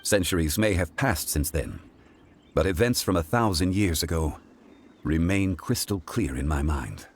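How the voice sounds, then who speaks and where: A man voicing a calm, weary ancient god in human form, speaking formally and deliberately in measured video game dialogue. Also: low voice, slight background noise. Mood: solemn, reminiscing.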